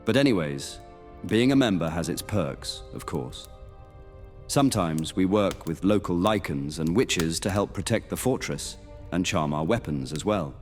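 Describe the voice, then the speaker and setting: A man playing a level-headed medieval knight who guides new recruits in a fantasy audio roleplay, measured and explanatory. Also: deep voice, expressive, darkish tone, faint music behind. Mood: measured, cautioning.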